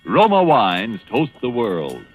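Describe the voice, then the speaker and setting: An American man announcing a scripted 1940s radio advertisement in a formal, measured, emphatic sales manner. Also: medium pitch, huge pitch swings. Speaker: sponsor announcer. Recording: vintage 1940s radio, faint music.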